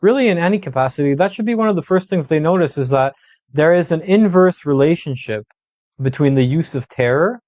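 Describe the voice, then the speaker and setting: A man's voice: fairly high pitch, lively intonation, brisk, a little mellow, no room sound. A man giving conversational political analysis as a guest, laying out points methodically and checking in as he goes. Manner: methodical, punctuates points with "right?" and "okay?". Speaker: political commentator, podcast guest.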